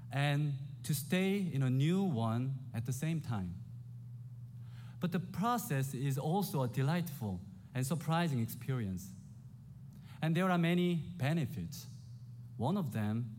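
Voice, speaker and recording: A man's voice: medium pitch, a little mellow, slight background noise. Korean-accented male preacher, earnest sermon. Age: 40s. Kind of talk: sermon with scripture reading.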